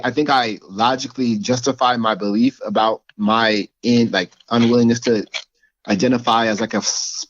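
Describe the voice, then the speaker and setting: A man's voice: medium pitch, dry recording. Author guest, man, reflective and searching for words, dull dry recording. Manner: thoughtful, restarts often, light laughs. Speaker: author, writing teacher. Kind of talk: casual conversation.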